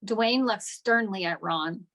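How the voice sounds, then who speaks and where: A woman serves as narrator at a script table read, reading out the action in scripted narration. Her voice is mid-pitched. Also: slow pace, fairly bright tone. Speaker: narrator of stage directions.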